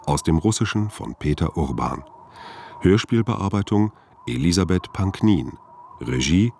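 A man's voice: low-pitched, lively intonation, a little slow, creaky, a little mellow, a little hiss or hum. A man reading scripted opening credits as a radio drama announcer.

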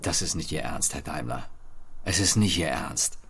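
A man's voice: a bass voice, lively intonation, normal speed, noisy background. An actor playing a sceptical, indignant engineer, questioning his business partner incredulously in an audio docudrama. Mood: indignant, disbelieving. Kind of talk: scripted audio docudrama dialogue.

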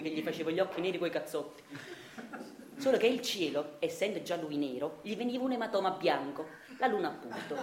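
An actress playing a dreamy, henpecked, bookish husband, confiding his story in a hushed theatrical monologue. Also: on the low side, echoey room, natural voice. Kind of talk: theatrical radio monologue narration.

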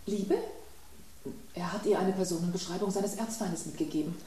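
A woman's voice: on the low side, fairly even intonation, moderate pace, mellow, heavy reverb, distant mic, close to an everyday voice. An actress voicing a female mineral water that reports the latest news, matter-of-fact, in a radio play. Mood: reporting.

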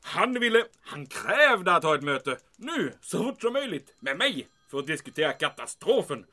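Excitable german army commandant in a radio play, highish sharp voice, swings all over, barks orders. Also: male voice, exaggerated voice. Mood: scheming, anxious. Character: bombastic, paranoid German military commandant in occupied Sweden. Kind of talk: audio drama acting.

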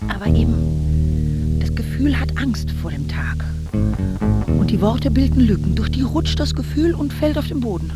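A woman's voice: low voice, wildly swooping pitch, measured pace, over loud music, exaggerated voice. An actress playing a restless woman in a stale love affair in a literary radio drama. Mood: brooding.

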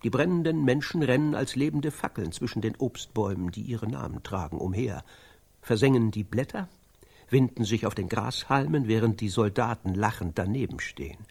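An actor playing an intense man who grimly recounts atrocities in a radio drama, speaking in deliberate, halting phrases with a husky voice. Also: medium pitch, darkish tone. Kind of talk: German radio drama dialogue.

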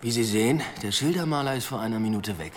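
An actor playing a guarded, ambitious police inspector in 1920s Berlin, measured and businesslike, in a naturalistic radio drama style. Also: male voice, mid-range voice, slight background noise, close to an everyday voice. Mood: dry, impatient.

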